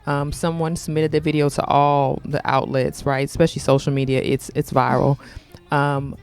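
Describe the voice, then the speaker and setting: A woman's voice: low-pitched, level tone, normal speed, darkish tone, clean tone. A woman from New York hosting a podcast discussion, chatting loosely and often repeating words as she starts her thoughts. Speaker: podcast host. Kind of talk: casual discussion with read sponsor segment. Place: Houston.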